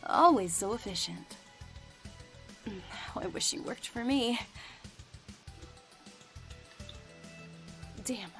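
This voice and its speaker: An actress playing a stern, weary team boss in a visual novel game. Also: female voice, medium pitch, animated, measured pace, fairly bright tone, soft background music. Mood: commanding.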